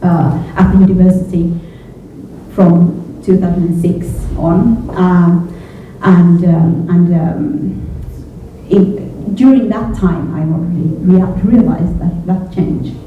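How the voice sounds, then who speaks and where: A woman's voice: lowish voice, fairly even intonation. Middle-aged woman, Finnish accent, native Finnish speaker, hesitant panel answers; thin phone-like sound with faint noise. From Finland. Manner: hesitant, halting, often repeating words. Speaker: panelist, journalism educator. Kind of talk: panel discussion, thoughtful spontaneous answers. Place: echoey indoor event room with a panel. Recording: echoey room from far off, phone-like, faint noise.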